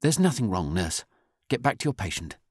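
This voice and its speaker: A man's voice: lowish voice, big pitch swings, a little fast, slightly rough, no room sound, voice pushed up. A male storybook reader plays a senior female nurse. The voice sits above his natural one, brusque and full of authority, recorded close and dry. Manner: brusque, commanding.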